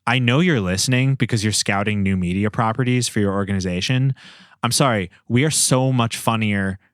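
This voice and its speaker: Male podcast host, low voice, dry close sound, joking and mock-earnest in loose chat. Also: brisk, dry recording.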